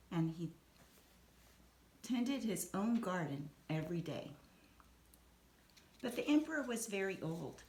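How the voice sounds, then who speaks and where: A woman with a slightly low voice narrates a children's storybook. She reads deliberately and expressively, as if to young listeners. The room is echoey, with a little background noise. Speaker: teacher reading a storybook aloud. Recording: roomy echo, some background noise.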